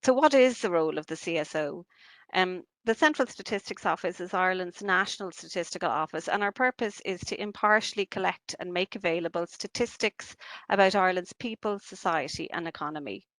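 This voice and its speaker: A woman, a statistician giving a measured, formal slide presentation on a video call. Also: lowish voice, a bit bright, no room sound. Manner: measured and formal, with occasional fillers.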